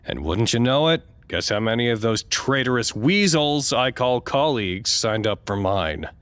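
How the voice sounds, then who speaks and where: A man's voice: medium pitch, animated, moderate pace, fairly bright tone, no echo, lightly acted. Pompous, swooping, fussy male doctor played by an actor. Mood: indignant. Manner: pompous, theatrical, exclamatory. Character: fussy, self-important, easily wounded male doctor of office order. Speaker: actor in audio drama podcast. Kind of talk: dramatic monologue, audio log.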